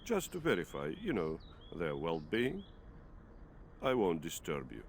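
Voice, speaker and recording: An actor playing a scheming army officer who talks in a silky, mocking, unhurried way. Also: male voice, deep voice, huge pitch swings, a little hiss or hum, full character voice. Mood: menacing. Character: scheming male army officer, smooth menacing villain.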